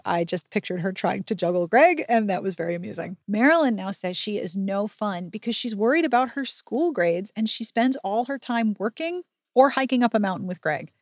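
A woman hosting a chatty book recap podcast, animated and full of wry asides. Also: medium pitch, no room sound, clean studio sound. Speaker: podcast host.

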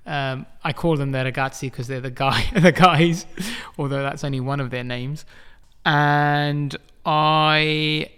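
A middle-aged man from England, a guest artist in a casual interview, talking in a halting way with many restarts and frequent chuckles. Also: fairly high pitch, fairly even intonation, fairly bright tone, clear, resonant tone.

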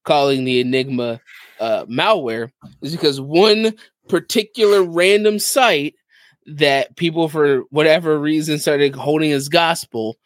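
A man's voice: a little high, a little slow, dry recording. A man casually explaining gaming news as a podcast co-host.

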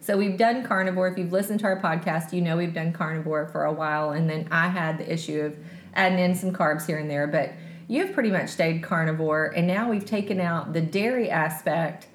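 Hoarse lady in her 40s, dry teasing humor, echoey room. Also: on the low side, a little fast. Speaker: co-host. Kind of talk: casual podcast chat.

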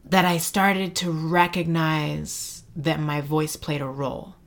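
A woman's voice: low-pitched, flat delivery, takes it slowly. A woman guest in an interview, talking thoughtfully and searching for words with frequent fillers. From Mexico City and San Antonio, Texas. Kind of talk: reflective interview conversation.

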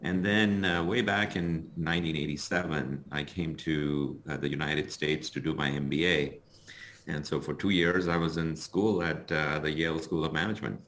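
A middle-aged man from India, a guest author and consultant, answering interview questions in a measured, explanatory way with many fillers. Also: extremely low pitch, fairly even intonation, a bit bright, a little hiss or hum. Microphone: remote call recording.

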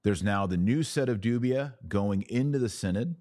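A man with a low-pitched voice hosts a religious commentary podcast. He explains in an emphatic, teacherly way, posing questions and then answering them. The sound is dry, with very little room in it. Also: moderate pace, warm tone, dry recording. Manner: emphatic, didactic, asks and answers own questions. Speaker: podcast host.

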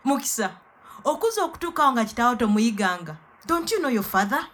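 A woman's voice: on the high side, very animated, fast talker, a bit bright. A woman playing an outraged mother in a radio play, scolding her grown daughter with reproachful questions. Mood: scornful. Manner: reproachful, interrogating, swooping exclamations.

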